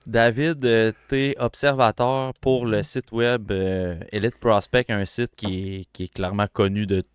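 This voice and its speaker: A man with a Québécois French accent co-hosting a casual hockey podcast, chatty and animated, with plenty of fillers. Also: lowish voice, measured pace, clean tone, no room sound, studio quality. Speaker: podcast co-host.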